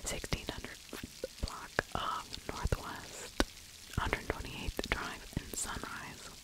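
A middle-aged woman whispers a true crime ASMR commentary in a hushed, conversational way, very close to the microphone. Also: slow, low-quality recording. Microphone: large fluffy-covered microphone held very close to the mouth.

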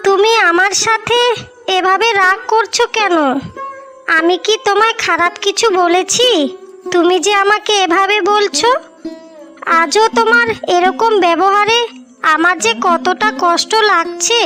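A devoted, easily wounded young bride from a cartoon melodrama, played by a woman. Her voice is extremely high-pitched and sharp, plaintive and pleading. Faint music sits behind it. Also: exaggerated voice. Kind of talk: melodramatic cartoon story dialogue. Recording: music bed under the voice.

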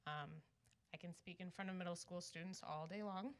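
A woman's voice: lowish voice, steady tone, a little fast, smooth. A woman in her thirties giving public comment at a meeting, her voice nervous and a little shaky. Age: 30s. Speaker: resident and teacher speaking at public comment.

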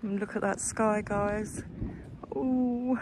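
Middle-aged British woman, chatty walking-tour host addressing viewers, recorded outdoors with clear ambient noise. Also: medium pitch, steady tone, takes it slowly. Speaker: vlog host. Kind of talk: casual walking-tour chat. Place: outdoors, walking along a marina promenade. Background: outdoor seafront ambience.

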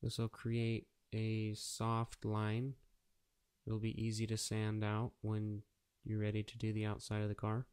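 Guy doing a casual how-to, really flat delivery, smooth clear voice, practical. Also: fairly low pitch, measured pace. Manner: plain, practical, matter-of-fact. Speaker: auto painter giving a how-to tutorial. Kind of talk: casual step-by-step instructional narration.

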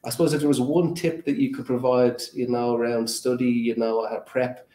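Mellow male moderator. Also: medium pitch, little pitch movement, normal speed. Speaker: panel moderator. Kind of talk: conversational webinar moderation. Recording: roomy, echoey sound.

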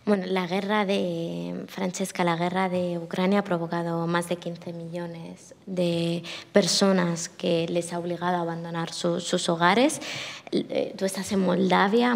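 A middle-aged woman moderating a panel, asking measured questions. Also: on the low side, little pitch movement, vocal fry. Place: conference hall, indoors. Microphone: desk microphone. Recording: reverberant conference hall.

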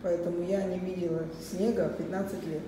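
A woman's voice: on the low side, little pitch movement, brisk. A middle-aged woman with a Russian accent chatting casually and asking questions, recorded in a very echoey kitchen-dining room. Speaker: vlogger interviewing a child. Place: hard-surfaced open kitchen-dining room. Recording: very echoey room, distant, noisy.

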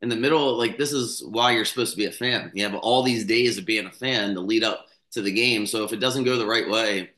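Kinda hoarse guy in his 30s riffing on baseball, lowish bright voice, echoey little room. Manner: fast, rambling, with restarts and fillers. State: slightly hoarse from yelling. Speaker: sports betting podcast host. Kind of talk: casual sports commentary. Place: small room with a bookshelf, indoors. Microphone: studio mic on a stand close in front.

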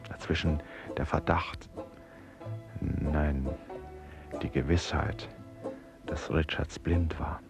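A man's voice: a bass voice, steady tone, slow pace, creaky, pauses often, over music, heavily acted. An actor playing a haunted, brooding man in a radio play, musing in fragments and sometimes near a whisper. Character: haunted, brooding male narrator lost in thought. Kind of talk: German radio play inner monologue.

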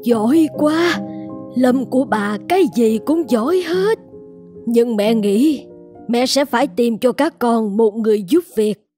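A woman's voice: fairly high pitch, animated, a little slow, mellow, faint music behind. A woman voicing a kindly, insistent elderly grandmother in a cartoon, advising and reassuring. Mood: caring, insistent. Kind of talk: cartoon dubbing.

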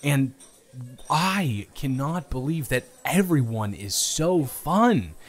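A man's voice: medium pitch, lively intonation, slow pace. A man acting out a playful, flustered young guy on a first date in an improvised audio roleplay, teasing and stammering with mock indignation. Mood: enthusiastic, playful. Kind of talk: improvised romantic audio roleplay, casual chat.

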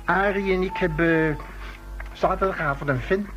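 A man's voice: high-pitched, moderate pace, fairly bright tone, soft background music. An actor playing an evasive young man in a radio drama, hesitant, stammering and defensive under police questioning. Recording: dull lo-fi radio drama recording.

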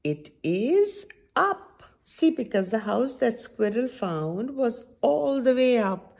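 Animated woman reading to children, swooping pitch. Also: takes it slowly, creaky. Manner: animated, sing-song, coaxing teacher-like delivery. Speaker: children's story-time host. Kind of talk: reading a picture book aloud to children with commentary and questions. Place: small indoor room at home.

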